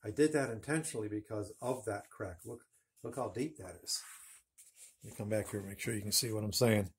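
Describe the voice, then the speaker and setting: A man's voice: lowish voice, fairly quick, breathy edge. A man in his fifties hosting a woodworking channel, chatting in a rambling, self-correcting way while showing his work in a home woodshop, talking to a phone camera held at arm's length. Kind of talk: casual workshop demonstration. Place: home woodshop with a low ceiling.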